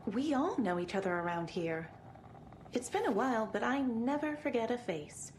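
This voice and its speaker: An actress playing a dreamy, blithely chatty small-town waitress in a video game. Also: female voice, on the high side, very animated, normal speed, a little hiss or hum, low-quality recording. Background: music.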